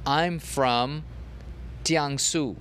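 A man teaching a podcast language lesson, repeating example phrases slowly for learners. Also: on the high side, fairly bright tone, slight background noise.

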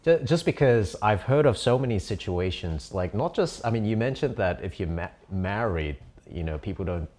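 Male interviewer, very low voice, swooping intonation, hesitant questions, ums and laughs. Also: lively intonation, moderate pace, hardly any pauses, a little mellow. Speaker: interviewer host. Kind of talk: casual interview.

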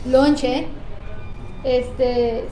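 A young woman with a Sinaloan accent, chatting with friends in a deadpan, joking way. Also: high-pitched, drawn-out words, faint music behind. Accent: Sinaloan Mexican. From Mazatlán, Mexico. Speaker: stream co-host. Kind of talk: casual chat among friends. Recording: echoey room, noisy background.

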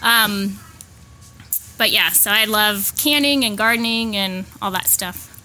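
A woman's voice: medium pitch, steady tone, slow, bright, forceful tone, faint music behind. A plainspoken woman from Pennsylvania chatting casually and jokily as she is interviewed. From Pennsylvania, United States. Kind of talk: casual chat.

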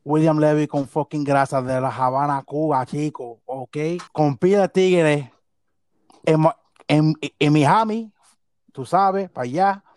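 A man's voice: a little high, unhurried, darkish tone, no room sound. Male podcast co-host, loose rambling banter, bilingual, close dry recording. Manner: rambling, joking, code-switching Spanish and English. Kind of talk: casual podcast chat. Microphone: not his regular mic.

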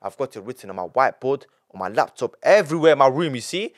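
A nineteen-year-old man, a podcast guest telling his story in an animated way, full of repetition and street slang. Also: medium pitch, fast talker. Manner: animated, repeats himself, heavy street slang. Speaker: young entrepreneur guest.

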